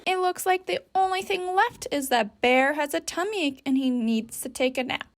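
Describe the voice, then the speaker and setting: A woman's voice: high voice, moderate pace. A woman teacher guiding young children through a story activity step by step, patiently, with simple sentences and guiding questions. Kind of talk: instructional read-aloud for young children, step-by-step.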